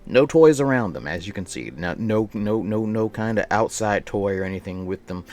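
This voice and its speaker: A man with a fairly low voice and very lively intonation hosts a casual livestream. He rambles playfully, repeating phrases as he chats and reads aloud. Faint music plays behind him. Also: normal speed. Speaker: live streamer. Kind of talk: casual livestream chat and reading a visual novel aloud.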